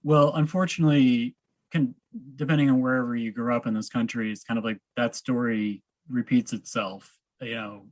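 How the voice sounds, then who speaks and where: A man's voice: medium pitch, fairly even intonation, moderate pace, darkish tone, no room sound. American man, dry close-miked sound. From Massachusetts, United States. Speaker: podcast host, interviewer. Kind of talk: casual interview conversation.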